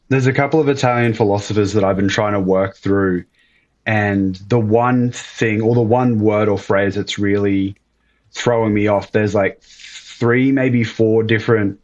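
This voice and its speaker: Podcast host guy, kinda low voice, easygoing chatty vibe. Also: a little mellow, muffled recording. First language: English. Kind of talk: casual interview chat.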